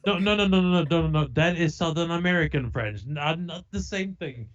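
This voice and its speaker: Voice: medium pitch, moderate pace, muffled recording, noisy background. A laid-back podcast co-host who laughs easily and teases in casual chat.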